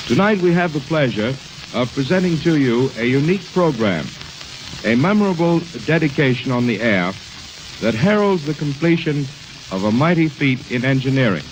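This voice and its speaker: A man with an American accent announcing in a formal, measured, declamatory 1920s radio style. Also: medium pitch, expressive, soft background music. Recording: noisy, crackly 1920s radio recording.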